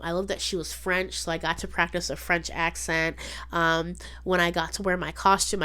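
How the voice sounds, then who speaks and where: A woman's voice: fairly low pitch, a bit bright. A young adult woman hosting a podcast solo, chatting casually and emphatically, often repeating words and saying "like". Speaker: podcast host.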